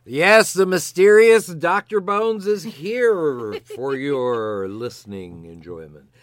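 Older male podcast host, jokey announcer flair, lively pitch, dry mic. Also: slow pace, no echo. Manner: jokey, alliterative, announcer-like flourishes. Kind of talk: playful scripted podcast banter.

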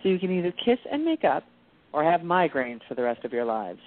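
Lady doing a firm knowing peacemaker telling people off, low voice, very expressive, radio play. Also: fairly quick. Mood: exasperated, scolding. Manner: firm, admonishing. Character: calm, knowing, scolding peacemaker woman. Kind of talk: amateur radio play acting. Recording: tinny, phone-like sound.

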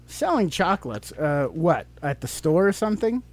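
Casting: male podcast host talking casually with a kid, expressive swooping delivery, playful questioning. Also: on the high side, moderate pace. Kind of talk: casual chat with a child.

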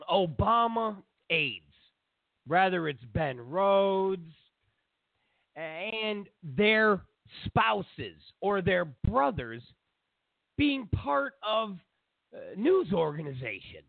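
A congested man with a stuffy nose hosts a political talk show. He rambles sarcastically in a lively, slow-moving voice. The sound is tinny and phone-like, and dry. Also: sits high, creaky, dry recording. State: congested from a cold. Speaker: show host.

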